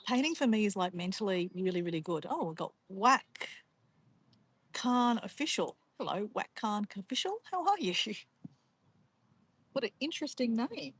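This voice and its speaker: A woman's voice: medium pitch, big pitch swings, moderate pace. An Australian woman with an Australian accent chatting in a casual, rambling, informal way as she hosts a livestream. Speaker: livestream host. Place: outdoors in nature near water. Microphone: phone or camera on a tripod. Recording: noisy outdoor livestream, clear background noise.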